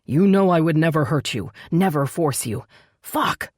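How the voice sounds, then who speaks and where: A low, growling male vampire lover acted by a woman narrator, possessive and quick to anger. He insists forcefully and stubbornly, in dramatic romance audiobook style, with a dry, close sound. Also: lively intonation, slightly rough, no room sound, exaggerated voice. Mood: frustrated.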